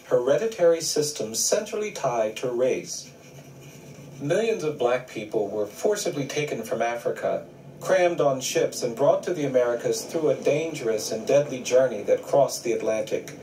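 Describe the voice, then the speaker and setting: A man reading a scripted documentary narration in a grave, measured way. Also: medium pitch, a little mellow, slight background noise. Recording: roomy, distant video playback.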